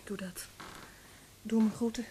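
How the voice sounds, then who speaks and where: An actress playing a lonely, devoted woman who speaks gently and soothingly in a radio play. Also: fairly high pitch, fairly even intonation, measured pace, natural voice. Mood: gentle concern. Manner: soothing, gentle, hushed at tender moments. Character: lonely, devoted woman, tender and self-sacrificing. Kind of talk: Dutch radio play acting. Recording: noisy, hissy old radio play recording.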